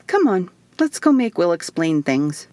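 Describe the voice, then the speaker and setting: A woman's voice: on the low side, sing-song, unhurried, warm tone, no echo. A woman voicing a small, bubbly, teasing alien woman in human form for an audiobook, with a perky, playful delivery. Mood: eager, reassuring. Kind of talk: audiobook character dialogue.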